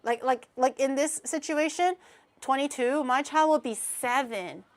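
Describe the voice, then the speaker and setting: A woman's voice: high-pitched, measured pace. A woman in her twenties streaming, chatting casually while reacting to clips, rambling, self-mocking and laughing often. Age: 20s.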